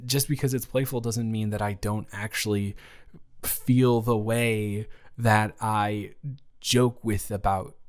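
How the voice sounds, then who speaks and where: A man's voice: fairly low pitch, steady tone. A man playing a nervous, smitten roommate in an improvised romantic roleplay audio, rambling and stammering in intimate one-sided talk. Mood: smitten, flustered. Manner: stammering, rambling, giddy, halting. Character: nervous, smitten male roommate confessing love.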